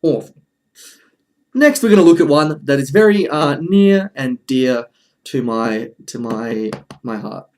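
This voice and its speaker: A man presents a casual video commentary in a mid-pitched voice. His delivery is dry and jokey, and he often interrupts himself as he talks. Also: lively intonation, a little slow, darkish tone, a little hiss or hum. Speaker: video host.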